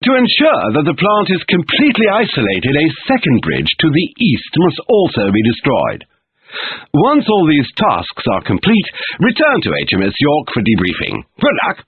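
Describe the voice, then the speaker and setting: Very animated military officer over radio. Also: male voice, a little high, normal speed, husky, heavily acted. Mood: commanding, urgent. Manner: formal, emphatic. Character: brisk, commanding male military briefing officer. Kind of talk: scripted military mission briefing. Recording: tinny radio-like band, faint noise.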